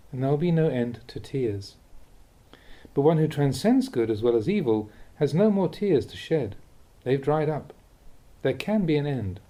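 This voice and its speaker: A man reading a Buddhist teaching aloud as instructive narration, with measured, deliberate phrasing. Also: mid-range voice, dark tone. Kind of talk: read-aloud dharma talk, instructive narration.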